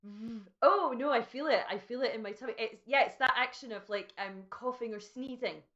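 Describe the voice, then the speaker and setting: A host with a fairly high voice chats with her guest over a video call. She laughs easily and reads out viewer comments along the way. The audio is dull and lo-fi. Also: fairly quick, fairly bright tone, a little hiss or hum. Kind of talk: casual video-call conversation.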